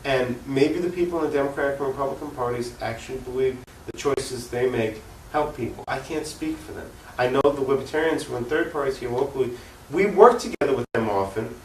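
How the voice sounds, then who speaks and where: A man's voice: medium pitch, a little fast. An American man speaking earnestly and emphatically as an activist guest in an unscripted political interview. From United States. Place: echoey room, cable TV interview. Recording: echoey room, distant mic, faint noise.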